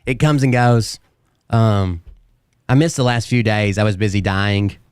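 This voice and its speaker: A man hosting his own live show, chatting casually and rambling, his voice hoarse and fading from a sore throat. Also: on the low side, measured pace, no echo, studio quality. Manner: rambling, self-mocking. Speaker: host. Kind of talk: casual live-stream talk.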